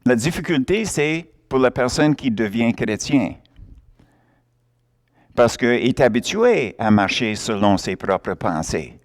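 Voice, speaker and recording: Very slow, lively preacher. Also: male voice, medium pitch. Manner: emphatic, plays out little dialogues, laughs often. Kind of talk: sermon.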